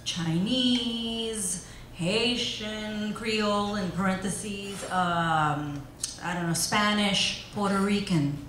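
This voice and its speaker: A woman from New York telling a story live on a small club stage, animated and quick, into a stand mic. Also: mid-range voice, a crowd in the background. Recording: echoey live room, distant mic, hum.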